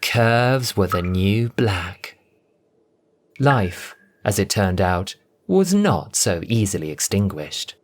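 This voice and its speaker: A narrator reading a scripted story in an expressive, theatrical, dramatic audiobook style. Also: low-pitched, takes it slowly.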